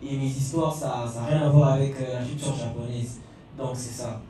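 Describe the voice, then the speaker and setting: A man's voice: mid-range voice, little pitch movement, normal speed, dark tone. A man with a Congolese French accent explaining his work informally as a comic artist, restarting phrases. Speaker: manga artist on a panel. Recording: very echoey room, distant mic, music behind.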